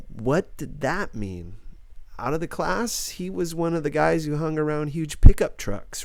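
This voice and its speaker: A man's voice: mid-range voice, gravelly, no room sound. A man reading a first-person novel aloud as a scripted audiobook narration.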